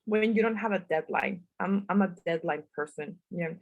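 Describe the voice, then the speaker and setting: A woman's voice: lowish voice, normal speed, no echo, narrow, tinny audio. A woman from northern Mexico with a Mexican accent, a writer answering interview questions in a chatty, self-deprecating way and laughing often. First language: Spanish. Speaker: guest writer.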